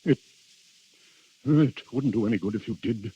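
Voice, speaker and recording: An actor in a 1930s radio drama playing an anxious, dutiful duke, speaking gravely and haltingly with great deference. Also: male voice, fairly low pitch, mellow. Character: anxious, dutiful Italian duke hosting a dreadful guest. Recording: muffled, dull 1930s radio recording.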